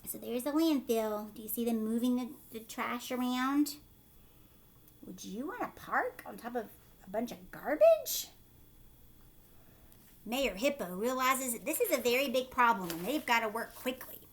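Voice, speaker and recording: A woman reads a picture book aloud as a teacher would to young children. Her voice is high and swings widely in pitch. She breaks off for simple explanations and questions to the listener. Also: animated, unhurried.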